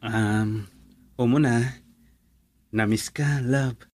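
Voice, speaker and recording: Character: earnest young boyfriend. Sound: dry. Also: male voice, mid-range voice, steady tone, very slow, darkish tone, no room sound. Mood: affectionate, teasing. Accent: Ilocano. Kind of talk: Ilocano romantic radio drama acting.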